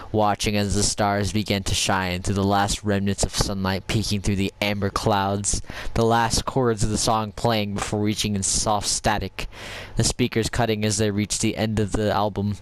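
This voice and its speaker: A man reading fan fiction aloud in a wry, deadpan way, recorded on a console headset. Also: lowish voice, a little hiss or hum, rough recording. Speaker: reader. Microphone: game console headset.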